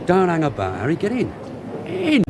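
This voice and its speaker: A man playing a brisk, authoritative British police detective sergeant in a radio drama, speaking in clipped orders. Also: high-pitched, huge pitch swings. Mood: commanding. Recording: noisy background with vehicle sounds.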